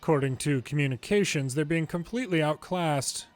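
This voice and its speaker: A man's voice: fairly high pitch, fairly quick, faint music behind. A man reading video game dialogue aloud dramatically, acting out each character's lines in turn.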